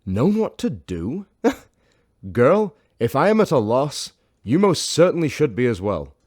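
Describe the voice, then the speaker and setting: A man playing a haughty professor in a sci-fi audio drama, brusque and pompous but gruffly encouraging. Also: mid-range voice, very animated, unhurried, darkish tone, no room sound, clean studio sound.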